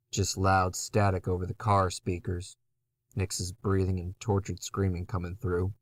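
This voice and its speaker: A man's voice: sits low, no echo. A man playing a battered, foul-mouthed undead antihero, telling his story in a wry, conversational way in a horror audio drama. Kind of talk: first-person horror audio drama narration.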